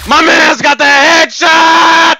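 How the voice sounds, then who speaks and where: Over-excited taunting shooter-game announcer played by a man, extremely shrill and forceful, bone-dry recording. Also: slow, no echo, faint music behind, exaggerated voice. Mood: gleeful taunting. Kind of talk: shouted game voice lines.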